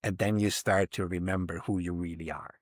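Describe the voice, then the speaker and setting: A man's voice: low voice, steady tone, a little fast, no room sound, studio quality. A man in his fifties, a podcast guest speaking reflectively and emphatically, often voicing inner thoughts as quotes. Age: 50s. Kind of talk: reflective interview talk.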